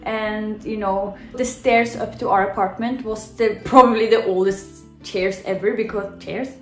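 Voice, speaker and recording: A woman's voice: medium pitch, steady tone, unhurried, faint music behind. Chatty Norwegian woman in an echoey room. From Norway. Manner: chatty, laughing often, many "you know" fillers. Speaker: vlogger. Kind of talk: casual storytime to camera. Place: living room, indoors.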